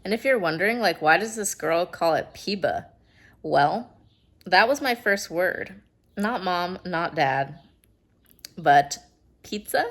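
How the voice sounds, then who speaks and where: A woman's voice: lowish voice, lively intonation, slow pace, long pauses, no room sound. A woman in her thirties talking casually to camera in a vlog, chatty and bubbly with a slightly congested sound. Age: 30s. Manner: chatty, bubbly, self-deprecating asides. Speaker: vlogger. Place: out and about, car and home.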